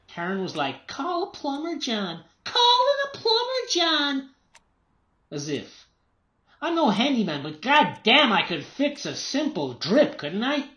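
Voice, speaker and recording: An actor in a radio play playing a tense, unravelling writer and father, agitated, irritable and repeating himself haltingly. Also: very high-pitched, huge pitch swings, bright, forceful tone, exaggerated voice. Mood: bitter, ranting.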